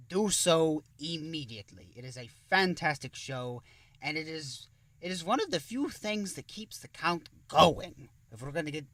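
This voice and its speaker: A man's voice: fairly high pitch, a bit bright, no echo, far from the mic. A man playing a genial, self-important thousand-year-old vampire who hosts an interview show in a theatrical, effusive manner. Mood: grand, grateful. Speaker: show host. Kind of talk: in-character interview hosting.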